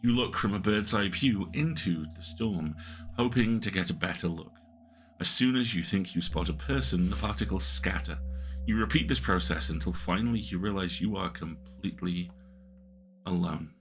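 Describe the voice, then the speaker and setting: A man reading interactive fiction aloud on a stream, with measured, atmospheric narration. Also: deep voice, slight background noise. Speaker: game narrator.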